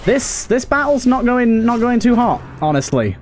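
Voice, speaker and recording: A man's voice: very high voice, very animated, normal speed, soft background music. A man giving casual let's play commentary as a gaming host, talking to himself and to the characters on screen. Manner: talks to himself and to game characters.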